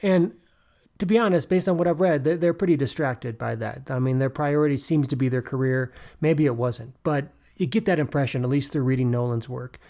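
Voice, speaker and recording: A man's voice: medium pitch, fairly quick, darkish tone. A man co-hosting a podcast, chatting about an author's life in a casual, conversational way, with frequent "like" and "uh". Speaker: podcast co-host. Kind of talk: casual book discussion.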